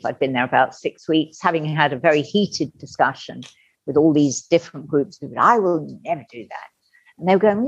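Middle-aged woman, low voice, candid storyteller, dull dry lo-fi sound. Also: gravelly, slightly rough, dry recording. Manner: candid, animated, acts out quoted voices. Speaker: podcast guest. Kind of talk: personal storytelling interview.

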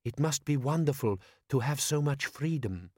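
A man's voice: mid-range voice, animated, moderate pace, darkish tone, breathy edge, dry recording, studio quality, pitched above the performer's own voice. A man doing a naive young village woman. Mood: earnest.

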